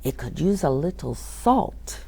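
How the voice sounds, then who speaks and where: A woman voicing a humble, sly male wanderer in a storybook, coaxing and hushed with feigned innocence. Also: deep voice, big pitch swings, measured pace, vocal fry, breathy edge, heavily acted.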